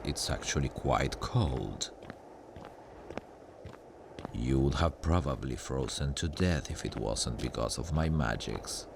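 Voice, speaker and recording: Man voicing a very deep, gravelly demon guardian. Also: full character voice. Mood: smug. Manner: smug, dryly menacing, unhurried. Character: sardonic, possessive male demon guardian of hell. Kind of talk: ASMR roleplay, slow intimate monologue. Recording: noisy ambient sound bed. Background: hellish ambience.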